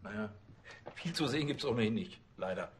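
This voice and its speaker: An actor playing an eager, fussy police officer who talks in a chatty, colloquial way. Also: male voice, fairly low pitch, animated, a little fast, a little hiss or hum, natural voice. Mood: awkward.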